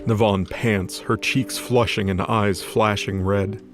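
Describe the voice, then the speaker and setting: A man serves as narrator of a scripted fiction drama. His voice is on the low side, delivering dramatic storytelling over music in the background. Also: fairly even intonation, slow. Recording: music behind the voice.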